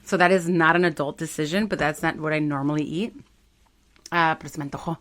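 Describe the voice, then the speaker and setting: A woman in her forties co-hosting a casual chat show, animated and playful, jumping into high quoted voices. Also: quick pace. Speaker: podcast co-host.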